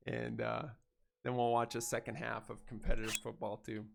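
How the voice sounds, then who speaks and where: A man's voice: mid-range voice, flat delivery, moderate pace, vocal fry, very noisy. A man streaming a video game in casual chat, congested from a head cold and sounding nasal, easygoing and chatty as he thanks his viewers. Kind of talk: casual gameplay livestream chat.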